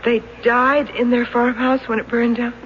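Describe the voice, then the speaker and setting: An actress playing a worried, soothing wife in a 1970s radio drama. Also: female voice, fairly high pitch, level tone, moderate pace, close to an everyday voice. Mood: anxious. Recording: muffled, hissy 1970s radio recording.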